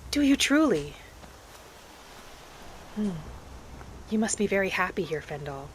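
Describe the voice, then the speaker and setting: A woman narrating a game roleplay in character as a gentle, hopeful young elf adventurer, softly musing aloud. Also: medium pitch, sing-song, measured pace, slight background noise. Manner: soft, musing, speaking thoughts aloud. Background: music.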